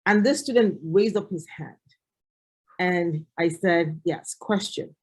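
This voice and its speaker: A middle-aged woman from Nigeria, a farmer and agriculture lecturer, telling stories with lively animation in a panel discussion. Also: on the low side, slow pace, breathy edge, low-quality recording. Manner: animated storytelling.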